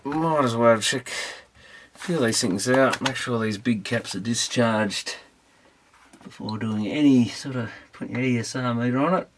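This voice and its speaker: A man gives an offhand running commentary as he tinkers at a repair bench. He speaks in a tentative, muttering way, as if thinking aloud. The pitch is average for a man, and there is slight noise with clicks behind him. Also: measured pace. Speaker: amateur electronics repairer presenting. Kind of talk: casual unscripted workbench commentary. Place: repair workbench indoors.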